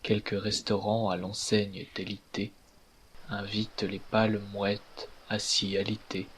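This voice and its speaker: A man reciting scripted verse in a measured, declamatory way, pausing between phrases. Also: lowish voice, flat delivery, a bit bright, slight background noise.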